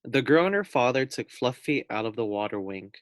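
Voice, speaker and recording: A man reading a children's storybook aloud like a teacher, pausing for casual asides and questions to his young listeners. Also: mid-range voice, animated, dry recording, studio quality.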